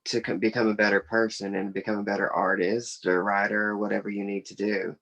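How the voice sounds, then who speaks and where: Young southern guy, lowish voice, chatting in an interview, earnest n plain spoken. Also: level tone, fairly quick, gravelly, fairly bright tone, poor audio. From South Carolina, United States. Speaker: interview guest, author and musician. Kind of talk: casual interview talk.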